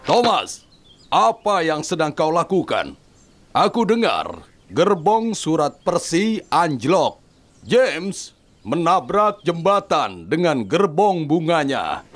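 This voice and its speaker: Brief: portly, scolding railway controller for a kids' cartoon, very slow and authoritative, swooping pitch. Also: male voice, big pitch swings. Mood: stern. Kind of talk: dubbed children's cartoon acting.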